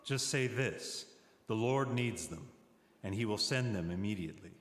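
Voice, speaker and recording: A man's voice: lowish voice, expressive, moderate pace. A man giving a solemn dramatic scripture reading, speaking as Jesus, recorded in an echoey church. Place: large church during a service.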